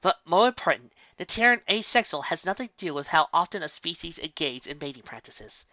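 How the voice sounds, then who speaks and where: A bookish young female unicorn, voiced by a woman reading aloud, explains everything with eager precision. The tone is bright and crisp, a touch higher than the reader's own. It sounds slightly muffled and dry, like a lo-fi home recording. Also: animated, a little fast, no room sound. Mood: earnest lecturing.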